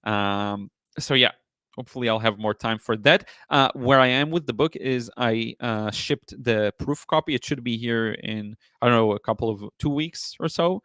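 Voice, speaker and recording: Male live stream host, mid pitch, slightly hoarse, rambling and thankful, chatty delivery, very dry room. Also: moderate pace, a bit bright, no echo. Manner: rambling, appreciative, often thanking viewers. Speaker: live stream host, watercolor painting teacher. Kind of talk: casual chatty live stream, answering viewer chat.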